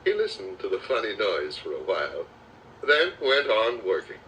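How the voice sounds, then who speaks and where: A man narrating a children's storybook aloud with expressive, dramatic storytelling delivery. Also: lowish voice, normal speed, slight background noise. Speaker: audiobook narrator. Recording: slightly distant cassette recording with music.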